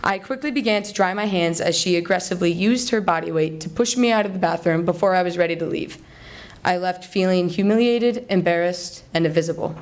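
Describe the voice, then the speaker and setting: A young American woman delivering a rehearsed persuasive speech to an audience, in an earnest and deliberate rhetorical manner. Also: lowish voice. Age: young adult. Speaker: student speech contest finalist. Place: college hall, before a live audience.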